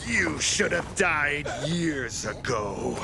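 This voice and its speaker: An actor playing a cruel, cold male villain scientist in a video game cutscene, taunting in a low, gravelly menace. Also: animated, drawn-out words, heavy background noise, full character voice. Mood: menacing. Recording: game audio with loud ambience.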